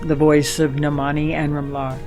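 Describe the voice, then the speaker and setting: A male announcer reads scripted closing material for an audio drama. His voice is a bit high for a man, over faint music. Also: little pitch movement, moderate pace, a little mellow. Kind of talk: scripted credits and episode announcement.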